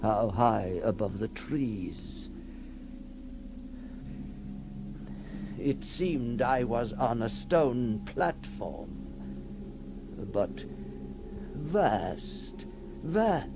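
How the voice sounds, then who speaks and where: A man playing a tormented, awestruck figure emerging from long confinement in a theatrical 1960s radio horror monologue, halting and breathless, repeating his words. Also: mid-range voice, expressive. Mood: bewildered wonder. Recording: noisy old 1960s radio recording.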